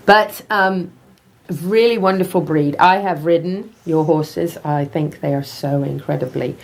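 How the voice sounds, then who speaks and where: A woman's voice: deep voice, vocal fry. A British woman hosting a live studio talk show, reading sponsors and introducing guests in an upbeat way. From Britain. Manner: upbeat, quick sponsor reads. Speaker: host.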